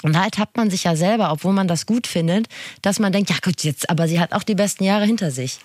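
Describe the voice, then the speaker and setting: A woman's voice: on the low side, fast, a little mellow, no room sound. A woman chatting casually as a podcast co-host, with a wry, joking manner. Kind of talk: casual chat.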